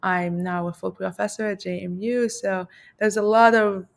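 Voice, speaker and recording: A woman's voice: mid-range voice, steady tone, moderate pace, creaky. A middle-aged woman from Lebanon, a math professor talking reflectively as an interview guest, with many fillers and restarts. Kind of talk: casual interview talk.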